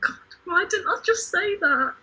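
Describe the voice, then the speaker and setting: A woman's voice: very high-pitched, lively intonation, measured pace, pushed and bright, low-quality recording. A woman chatting casually as a contestant in an informal quiz. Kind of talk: casual chat.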